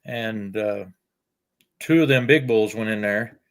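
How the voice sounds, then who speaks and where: An older man, telling farm stories in a folksy, unhurried way with frequent pauses. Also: on the low side. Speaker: storyteller guest. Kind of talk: casual storytelling.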